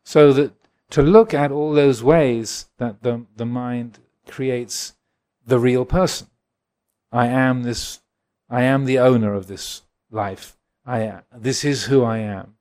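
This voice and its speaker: A man in his sixties from England, a Buddhist monk giving a gentle, reflective dharma talk and answering questions at a retreat. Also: medium pitch, fairly even intonation, slow pace. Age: 60s. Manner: gentle, reflective, chuckles often.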